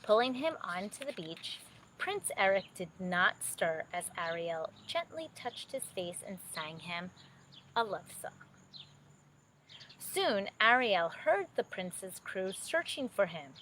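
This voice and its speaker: A woman narrating a children's bedtime story expressively, with dramatic, drawn-out emphasis. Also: mid-range voice, big pitch swings, a bit bright, slight background noise, poor audio.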